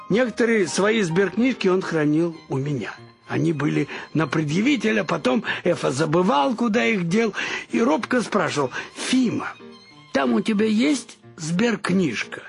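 An actor playing an excitable, nostalgic elderly grandfather in a radio play, with a thin, creaky voice. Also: sits high, full character voice. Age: older.

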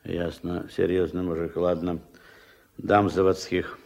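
An actor playing a gruff, shrewd, middle-aged fish farm boss in a radio play, giving curt orders in a gravelly voice. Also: male voice, sits low, little pitch movement, unhurried, darkish tone. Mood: curt, commanding. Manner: curt, bossy, clipped commands. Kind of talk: Soviet radio play acting. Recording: dull, lo-fi old radio recording.

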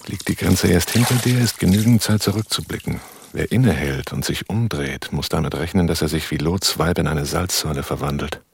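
An actor playing a cool, cautious arms smuggler on the run in a radio drama, with clipped, controlled delivery. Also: male voice, rumbling low, brisk, gravelly, few pauses, breathy edge, exaggerated voice. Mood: brooding, controlled. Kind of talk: German radio drama dialogue and first-person narration.

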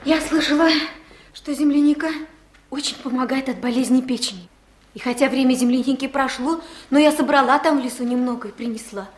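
A woman's voice: high voice, brisk, lightly acted. An actress playing a shy, earnest little village girl in a Soviet radio drama. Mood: shy, hopeful. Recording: echoey, faint noise.

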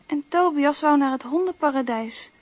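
A woman's voice: high voice, moderate pace, dry recording, lightly acted. A woman voicing a spirited young girl in a children's radio drama, talking earnestly and eagerly. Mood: hopeful. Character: spirited young girl, caring about her dog.

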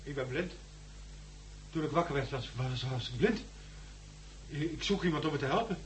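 A man's voice: a little high, normal speed, natural voice, rough recording. An actor playing a helpless, newly blind male surgeon who speaks hesitantly in a 1960s Dutch radio drama. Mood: shaken, lost. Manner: hesitant, repeating words. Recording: hissy 1960s radio recording.

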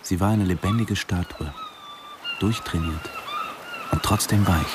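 A man's voice: sits low, wildly swooping pitch, measured pace, background noise, heavily acted. An actor playing a reflective, infatuated yet restrained man narrating his story in a radio drama. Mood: admiring, restrained. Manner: introspective.

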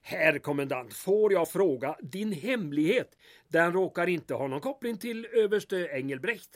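Man playing high-voiced, grumpy pompous mayor. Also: lively intonation. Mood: sceptical. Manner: exasperated, formal, muttering. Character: grumpy, indignant, pompous male small-town mayor. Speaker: actor in audio drama serial. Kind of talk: comic radio-play acting.

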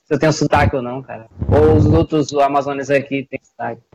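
A man's voice: mid-range voice, steady tone, lo-fi sound, noisy background. A man in his twenties from the Amazon region of Brazil, chatting casually on a podcast call, stumbling and repeating words. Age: 20s. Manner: hesitant, halting, repeats words. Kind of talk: casual chat.

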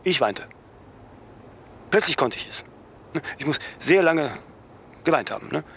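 A cool, self-absorbed man in a radio play. His voice sits slightly high, and he muses haltingly, adding questioning tags. There is faint noise behind him. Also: expressive, creaky, fairly bright tone, exaggerated voice. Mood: reflective, grieving. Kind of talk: radio drama acting.

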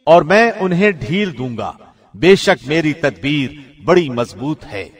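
A man reads a translation of scripture in a formal, scripted style. His voice sits somewhat high, and he speaks with solemn, measured, carefully articulated phrasing. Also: big pitch swings. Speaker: translation reader, professional radio host.

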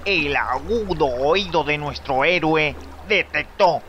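A man plays a melodramatic narrator for a police radio serial. His voice is a little high for a man. Clear noise runs behind him. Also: slow, bright, forceful tone, highs cut off. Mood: suspenseful.

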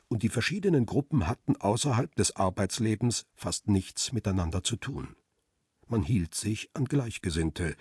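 An actor playing a middle-aged, world-weary male photographer who narrates a radio crime drama in a measured, reflective way. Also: on the low side, darkish tone, breathy edge, no echo, clean studio sound, exaggerated voice. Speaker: first-person narrator and lead character.

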